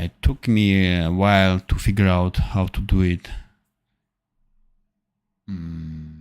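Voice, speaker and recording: Slow, gravelly low-voiced man thinking aloud. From Armenia. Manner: thinking aloud, halting with fillers and self-corrections. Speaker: animation tutor. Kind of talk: live-streamed software tutorial.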